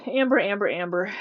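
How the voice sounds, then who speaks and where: A woman's voice: mid-range voice, creaky, no echo. Woman commentator, dry slightly dull sound. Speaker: commentary channel host. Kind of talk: casual opinion commentary.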